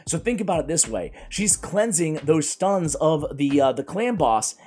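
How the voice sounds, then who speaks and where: A man reviewing video game characters in a casual, energetic way, his voice strained and worn from a cold. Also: fairly high pitch, a little slow, slight background noise. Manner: energetic, chatty, keeps addressing viewers as guys. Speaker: gaming YouTuber.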